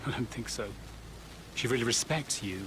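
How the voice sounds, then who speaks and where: An actor playing a gloomy, self-deprecating middle-aged professor in a video game. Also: male voice, medium pitch, normal speed, a crowd in the background, natural voice. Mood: downcast.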